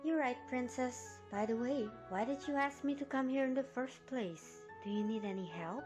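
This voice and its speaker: A woman plays a friendly mare pony with a fairly high voice. She speaks politely and casually, with music clear behind her. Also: normal speed, close to an everyday voice.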